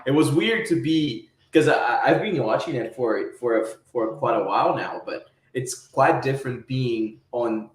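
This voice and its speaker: A man's voice: medium pitch, lively intonation, moderate pace, hardly any pauses, reverberant, rough recording. A man from Brazil, a podcast guest, explaining things casually and often repeating words as he goes.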